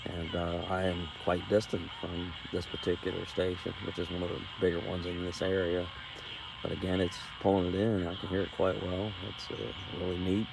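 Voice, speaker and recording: Low, gravelly man reviewing camping gear. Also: brisk. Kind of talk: casual product review. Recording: steady background noise.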